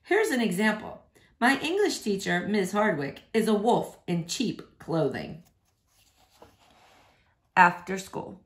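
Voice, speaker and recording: A woman reading a children's novel aloud in an expressive storytelling style. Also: mid-range voice, slow, long pauses. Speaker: storybook reader.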